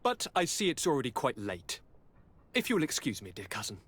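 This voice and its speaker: A man's voice: medium pitch, huge pitch swings, fairly quick, a bit bright, breathy edge, heavily acted. A man voicing a breezy, affectionate young aristocrat in a video game, with a courtly, airy and theatrical way of talking. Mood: politely dismissive. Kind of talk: dramatic video game acting.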